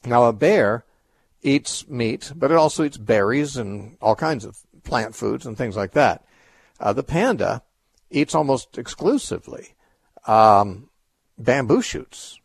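A man's voice: medium pitch, lively intonation, measured pace, creaky, slightly rough, dry recording. A man hosting a radio call-in show, answering Bible questions in a thoughtful, explanatory way with frequent restarts.

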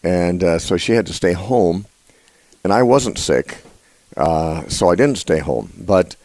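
A man hosting a live call-in Bible radio show, congested, talking haltingly with many fillers and restarts. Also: lively intonation, darkish tone, no room sound. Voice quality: usually a high nasal voice. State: congested, voice lower than usual.

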